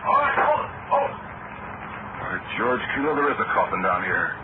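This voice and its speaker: Blunt authority in radio mystery, very animated pitch, tinny narrow band. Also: male voice, moderate pace. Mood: puzzled, surprised. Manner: blunt, incredulous. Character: blustery, forceful male authority in detective mystery. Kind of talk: old-time radio drama acting. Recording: tinny vintage radio, very loud noise.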